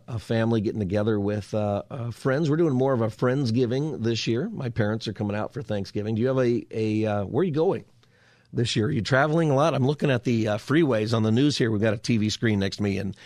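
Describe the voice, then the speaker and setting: A hoarse, raspy male radio talk host chatting casually from his studio, rambling with restarts. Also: medium pitch, a little mellow, dry recording. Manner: meandering, lots of fillers and restarts. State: hoarse from a cold. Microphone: studio mic.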